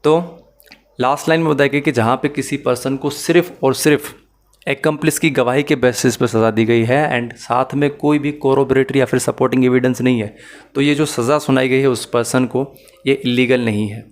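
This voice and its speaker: A man's voice: mid-range voice, fairly even intonation, normal speed. A man with a Pakistani accent lecturing as a law teacher, explaining methodically, rephrasing key points and mixing in English terms.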